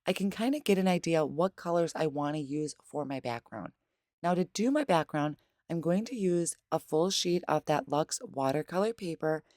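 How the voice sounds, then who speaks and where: Hoarse, low-voiced woman. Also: darkish tone, dry recording, clean studio sound. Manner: explains steps patiently and plainly. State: hoarse, losing her voice. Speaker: craft demonstrator. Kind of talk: voice-over craft tutorial.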